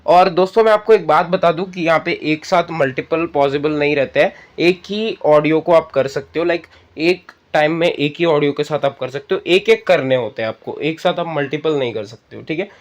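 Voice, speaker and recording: A man's voice: a little high, normal speed, few pauses. A man with an Indian accent hosting a casual step-by-step app tutorial, conversational and often checking in with listeners. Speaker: tutorial host.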